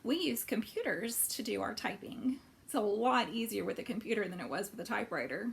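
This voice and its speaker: A woman's voice: medium pitch, expressive, fairly quick, vocal fry, slight background noise. A woman hosting storytime for children, reading and explaining simply, with questions put to young listeners. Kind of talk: animated storybook read-aloud and craft talk for children.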